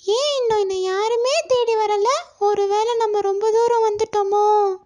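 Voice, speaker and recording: A woman voicing a timid but cunning little fawn in a children's storybook, with a small childlike squeak and an anxious, coaxing manner. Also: fairly even intonation, unhurried, mellow. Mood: frightened.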